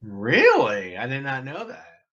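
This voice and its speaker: A man's voice: medium pitch, huge pitch swings, measured pace, pushed and bright. A man asking curious interview questions. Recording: dull lo-fi audio.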